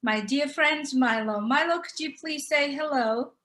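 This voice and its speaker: A woman hosting an online talk show, welcoming viewers and guests with upbeat, gushing warmth over a video call. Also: high-pitched, measured pace. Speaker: show host.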